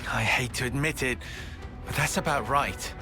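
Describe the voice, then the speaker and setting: An actor playing a composed, world-weary military officer in a video game, speaking in a measured, wry, formal manner. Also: male voice, mid-range voice, over music, lightly acted. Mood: grudging. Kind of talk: video game cutscene acting.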